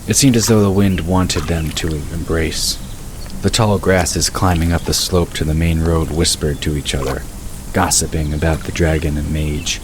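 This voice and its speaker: A man narrating a story in a measured, even way. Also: very deep voice. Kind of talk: scripted audiobook narration. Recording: hissy recording with bird ambience.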